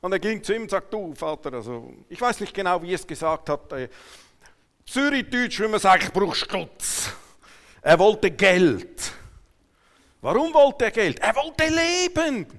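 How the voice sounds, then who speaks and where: High-voiced Swiss German preacher in a hall. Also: male voice, big pitch swings, a little slow. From Switzerland. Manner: emphatic, swinging between hushed asides and raised declarations. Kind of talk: sermon to a congregation. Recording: long hall reverberation.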